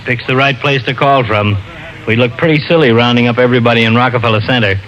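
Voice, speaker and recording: A man's voice: medium pitch, steady tone, fast, a bit bright, natural voice. Blunt, harried American police lieutenant played by a man. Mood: frustrated. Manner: clipped, blunt, terse. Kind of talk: 1940s-50s radio drama acting. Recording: dull, noisy vintage radio recording.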